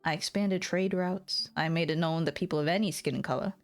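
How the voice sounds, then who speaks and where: A woman voicing a weary, embittered, ambitious lord who narrates the story in a measured noir manner. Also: deep voice, faint music behind, voice pushed down, lightly acted. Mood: weary, resolute. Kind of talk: scripted dramatic narration.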